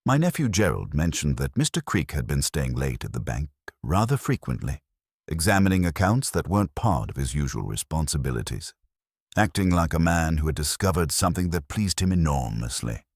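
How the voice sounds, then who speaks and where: A man's voice: rumbling low, sing-song, a little fast, slightly rough, no room sound, studio quality, exaggerated voice. A man voicing a formidable, self-important older woman who gossips with precise authority in a mystery story. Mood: satisfied, gossipy.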